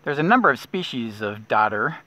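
A middle-aged man guiding a kayak nature trip, explaining plants in a relaxed way with small restarts, recorded outdoors on a lake. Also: mid-range voice, fairly quick. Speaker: naturalist guide. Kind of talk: outdoor nature walk narration. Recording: dull lo-fi outdoor audio.

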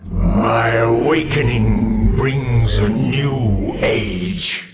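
An actor playing an ancient, imperious eldritch god in a video game, speaking in a portentous, menacing manner. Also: male voice, medium pitch, very slow. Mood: ominous, threatening. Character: ancient eldritch old god, giant eye, ominous and imperious. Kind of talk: video game villain voice lines. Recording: game audio with loud music.

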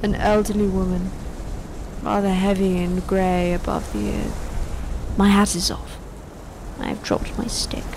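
An actress playing a weary yet defiant elderly woman, delivering a measured, poetic monologue in a literary radio drama. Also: medium pitch, flat delivery, background noise, lightly acted. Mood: reflective.

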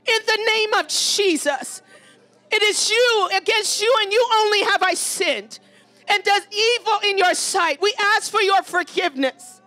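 A woman's voice: extremely high pitch, unhurried, sharp tone. A woman preacher leading fervent charismatic prayer, urgent and pleading, exhorting with repeated phrases.

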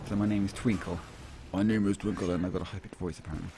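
A man's voice: low-pitched, rapid-fire, background noise. A man casually chatting and joking while playing a co-op game with a friend. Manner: playful, jokey, lively exclamations. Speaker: gaming channel host. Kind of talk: casual co-op gameplay chat.

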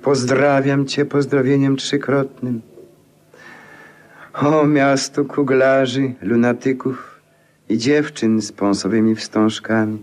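A man's voice: medium pitch, level tone, takes it slowly, darkish tone, natural voice. An actor performs a moonstruck male dreamer from a 1950s radio play. He declaims grandly and theatrically, taking his time over every phrase as an eccentric night wanderer. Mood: grandiose, declamatory.

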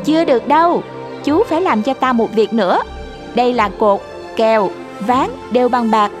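A woman's voice: fairly high pitch, moderate pace, over music, lightly acted. A woman voicing a sly, bossy talking cat in a cartoon fairy tale, with a commanding, teasing manner. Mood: demanding.

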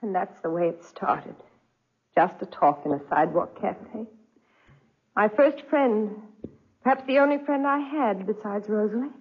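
A woman's voice: mid-range voice, slightly rough. An actress playing a refined, unhappily married young lady, proper but emotional, in 1940s radio style. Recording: dull, muffled 1940s radio recording.

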